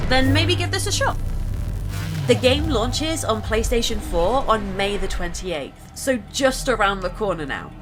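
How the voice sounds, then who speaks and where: A woman narrating a gameplay video from a script, informal and chatty as she addresses viewers. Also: a little high.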